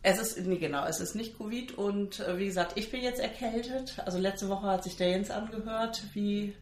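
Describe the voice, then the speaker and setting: A woman's voice: medium pitch, moderate pace, darkish tone, reverberant, slight background noise. A woman with a stuffy nose from a cold, co-hosting a podcast in a chatty, teasing way and often cutting in. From Germany. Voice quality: nasal. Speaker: podcast co-host. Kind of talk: casual chat.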